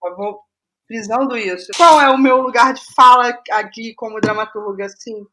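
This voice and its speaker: A middle-aged woman with a Brazilian accent and a fairly high voice. She talks reflectively as a guest in a conversation, stopping to qualify her phrasing and leaning on key terms. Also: moderate pace, pushed and bright. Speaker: guest playwright.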